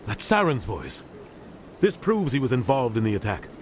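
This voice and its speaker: An actor playing an earnest, alert military officer in a video game, explaining things analytically. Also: male voice, medium pitch, wildly swooping pitch, normal speed, slight background noise. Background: music.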